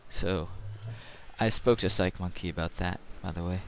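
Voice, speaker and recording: A man's voice: low-pitched, steady tone, moderate pace, creaky, a little hiss or hum. A man giving casual gameplay commentary as a video host, rambling and thinking aloud.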